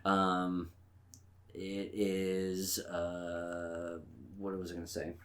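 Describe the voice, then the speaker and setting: A man co-hosting a podcast in casual banter, with a halting, mock-serious way of telling things. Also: deep voice, monotone. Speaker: podcast co-host.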